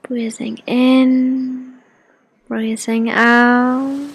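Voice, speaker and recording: Female meditation guide, high soft voice, extremely unhurried delivery, faint ambient noise behind her. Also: flat delivery, dry recording. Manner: soft, gentle, soothing, with long pauses.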